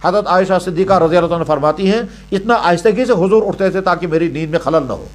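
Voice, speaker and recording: A man's voice: high voice, fast. A man giving religious guidance in a didactic, emphatic way, repeating points in parallel phrases. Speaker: Islamic scholar answering religious questions. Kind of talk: religious question-and-answer sermon.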